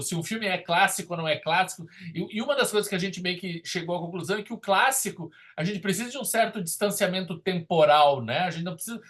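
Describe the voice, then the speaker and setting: Animated southern Brazilian man discussing film. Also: high voice. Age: 40s. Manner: enthusiastic, emphatic, repeats and rephrases himself. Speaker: podcast co-host and film critic.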